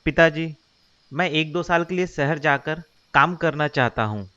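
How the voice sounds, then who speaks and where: A man's voice: a little high, unhurried, sharp tone, clear, resonant tone, highs cut off. A man playing a simple, dutiful young village man in a cartoon story, mild and agreeable in the way he talks. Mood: respectful. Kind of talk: Hindi cartoon moral-story voice acting.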